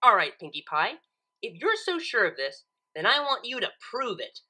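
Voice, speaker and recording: A woman's voice: fairly high pitch, big pitch swings, moderate pace, sharp tone, slightly rough, heavily acted. A woman voicing a bookish, exasperated young female cartoon unicorn who speaks in a precise, insistent way. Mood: challenging. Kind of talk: cartoon fan fiction character reading.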